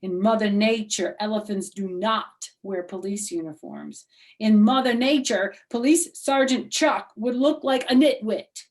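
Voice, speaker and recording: A woman voicing an excitable, indignant little girl with a blunt, bratty, emphatic way of talking. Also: medium pitch, a little slow, pitched above the performer's own voice, close to an everyday voice. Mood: scornful. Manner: emphatic, bratty, childlike phrasing.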